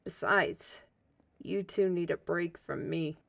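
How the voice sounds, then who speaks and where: A woman's voice: fairly low pitch, slow pace, gravelly, dry recording. A woman playing a weary, guarded agent with a dry wit in an audio drama. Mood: weary, brooding.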